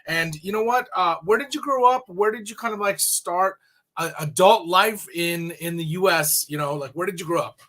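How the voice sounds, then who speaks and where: A woman's voice: on the low side, moderate pace, fairly bright tone. A woman hosting a talk radio show, chatting casually and eagerly as she interviews a guest.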